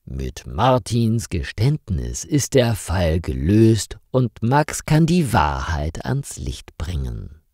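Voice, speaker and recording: A man tells a story at a deliberately slow, clear pace for learners, his low voice mellow and warm. His pitch rises and falls expressively for drama, and the recording is dry and close with no room sound. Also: no room sound. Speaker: narrator of a German learning channel.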